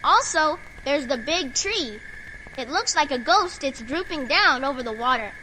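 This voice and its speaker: A woman's voice: sits high, lively intonation, a little slow, sharp tone, slight background noise, heavily acted, low-quality recording. A woman voicing a sweet, earnest little boy with a childlike voice in an audio horror drama. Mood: eager, earnest. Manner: simple, earnest child's talk.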